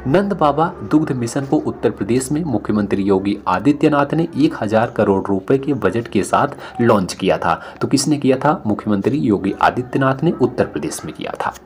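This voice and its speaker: A man's voice: medium pitch, lively intonation, normal speed, few pauses. Man, mid-range voice, instructive exam review, repetitive for emphasis, slightly noisy recording. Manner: repeats each question and answer for emphasis. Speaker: exam-preparation teacher. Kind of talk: explanatory exam review, question by question. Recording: noticeable background noise.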